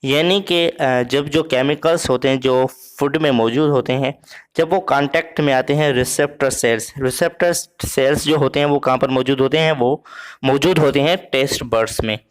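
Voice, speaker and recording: A man teaching a biology lesson, slow and halting with many fillers, reading English lines and then explaining them simply. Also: mid-range voice.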